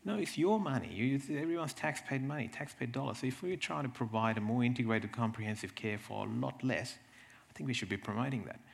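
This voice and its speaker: A man giving a slide presentation, explaining things in a halting way with repeated words and asides. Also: mid-range voice, creaky. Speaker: presenter from a health service.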